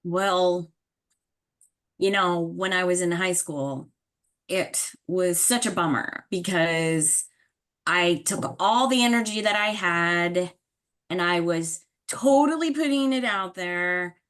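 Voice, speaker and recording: Slow-talking, slangy, easygoing woman. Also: lowish voice, a bit bright. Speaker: workshop participant in a role-play. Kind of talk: casual, improvised role-play talk.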